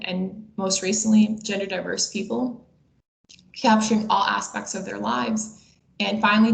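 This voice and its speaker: Young woman presenting, creaky voice, echoey room. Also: mid-range voice. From Bosnia and Herzegovina. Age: 20s. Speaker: webinar presenter. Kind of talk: scripted webinar presentation. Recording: roomy, echoey sound.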